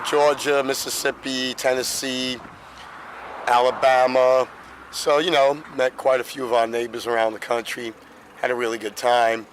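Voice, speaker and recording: A man in his fifties talks casually to his vlog audience in a hoarse, mid-pitched voice. His delivery is folksy and chatty. Clear noise from traffic and the outdoors runs behind him. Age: 50s. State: hoarse, losing voice. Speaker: vlogger. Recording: noisy car and outdoor ambience.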